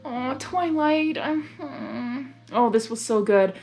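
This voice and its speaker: Excitable, high-voiced woman reading aloud. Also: slow. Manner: excitable, hyper. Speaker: host reading fan fiction aloud. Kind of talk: informal read-aloud with reactions. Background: music.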